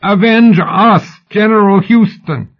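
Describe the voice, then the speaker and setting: Guy doing a shrill young london lady for an audiobook, pitched way up, pleading and declaiming, bit lo-fi. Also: takes it slowly. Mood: shrill, desperate. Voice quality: shrill, strained.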